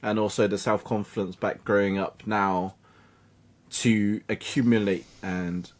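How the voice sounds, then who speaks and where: A man's voice: lowish voice, a little slow. A man in his thirties, a native Cantonese speaker, telling his life story as an interview guest, often acting out the voices of other people. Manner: animated, acts out quoted voices of family and peers.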